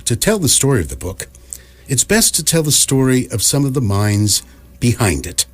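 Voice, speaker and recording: A man narrating a comic radio drama from a script in a measured, wry storytelling manner. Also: mid-range voice, big pitch swings.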